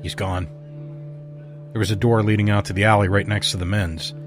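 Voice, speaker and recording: A laid-back, dependable right-hand man in an audio drama, played by an actor. He speaks in a low voice, with clear music behind him. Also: level tone, a little fast, natural voice. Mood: matter-of-fact.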